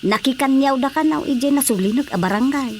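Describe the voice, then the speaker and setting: A woman's voice: high voice, fairly quick, a little mellow, slight background noise. A woman with an Ilocano Filipino accent playing a practical, gossipy village wife in a radio drama comedy, chiding and insistent. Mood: gossiping, insistent.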